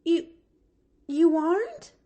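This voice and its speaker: Brief: high, lively little girl, chatty and eager, storybook read-aloud, voiced by a woman. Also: slow pace. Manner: breathless, gushing, chattery. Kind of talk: audiobook character voice.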